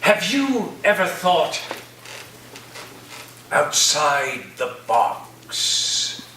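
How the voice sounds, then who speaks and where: A man playing an eccentric college professor in a staged reading, speaking in a pompous, deliberate, whimsical way with sudden outbursts. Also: fairly high pitch, very animated, sharp tone, exaggerated voice. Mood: eccentric, playful. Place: echoey indoor room, staged reading. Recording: roomy, distant stage recording with faint noise.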